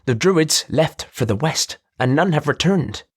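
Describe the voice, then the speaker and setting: A man's voice: fairly high pitch, expressive, measured pace, breathy edge, pitched above the performer's own voice. A man voicing a cheerful middle-aged male merchant, nasal, theatrical and good-natured. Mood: earnest.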